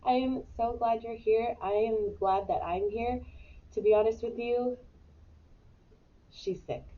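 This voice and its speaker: A woman's voice: on the high side, measured pace, muffled recording. A woman in her thirties with a stuffy cold, chatting casually as host of a weekly live show, rambling with many fillers. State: congested with a cold. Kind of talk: casual live-stream chat.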